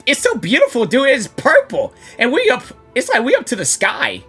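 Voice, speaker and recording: A woman's voice: fairly high pitch, wildly swooping pitch, moderate pace, a bit bright. A woman streamer giving casual, rambling reactions to a game as she plays, often repeating her words. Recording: game music behind voice.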